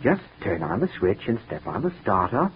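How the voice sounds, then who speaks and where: An actor playing a moody composer with a quietly sinister, coaxing and measured manner in a 1940s radio mystery. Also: male voice, lowish voice, big pitch swings. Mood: calmly persuasive. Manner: measured, coaxing, outwardly solicitous. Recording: dull, noisy 1940s radio recording.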